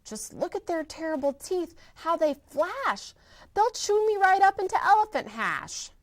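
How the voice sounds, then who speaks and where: A woman's voice: extremely high pitch, very animated, a little slow, no room sound, voice pushed up, exaggerated voice. A woman reading aloud and voicing a tired, good-hearted male elephant. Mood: fearful. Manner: pleading and worn out.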